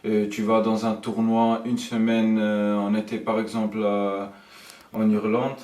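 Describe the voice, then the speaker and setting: A man's voice: on the low side, monotone, unhurried, a little mellow. A young man from Italy and Luxembourg, casually telling his own story as an interview guest, with frequent informal tags. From born in Italy, raised in Luxembourg from age nine. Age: young adult. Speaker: guest, professional footballer. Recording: roomy echo, distant from the mic.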